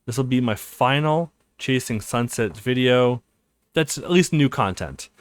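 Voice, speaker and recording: A man's voice: mid-range voice, expressive, measured pace, no room sound. A man hosting a let's play, talking to viewers and reading visual novel text aloud as narration. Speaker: let's play video host.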